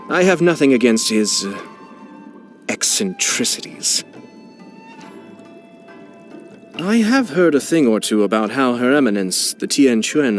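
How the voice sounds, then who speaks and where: A poised young male aristocrat from a video game, played by a man, with a low voice. He chooses his words formally and carefully, courteous on the surface with a shrewd, wry edge. Music plays along with him. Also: lightly acted. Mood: bemused, dry. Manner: formal, measured, urbane, quietly wry. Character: poised, shrewd young nobleman, courteous clan head and protective brother. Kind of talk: scripted video game character voice lines.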